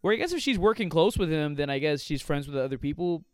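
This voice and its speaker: A man co-hosting a casual advice podcast, talking in a rambling, halting way full of fillers and jokes. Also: a little high, animated, clear, resonant tone, no room sound, clean studio sound. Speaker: podcast co-host.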